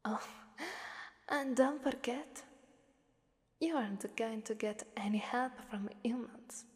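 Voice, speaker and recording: Woman doing asmr demon lady, kinda high voice, talks real slow n breathy, teasing and menacing, big echoey reverb. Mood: threatening, amused. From Italy. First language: Italian. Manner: slow, breathy half-whispered, playfully menacing. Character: seductive, taunting female Krampus demon ruling a fighting arena. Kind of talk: ASMR roleplay voice acting. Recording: long cavernous reverb.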